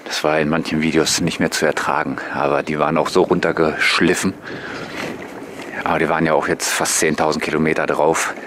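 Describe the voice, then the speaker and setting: A man giving relaxed, musing, casual commentary to viewers as a vlogger on a bike tour. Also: very deep voice, fairly quick. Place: outdoors on rural paths and waterside. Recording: noisy outdoor recording with passing vehicles.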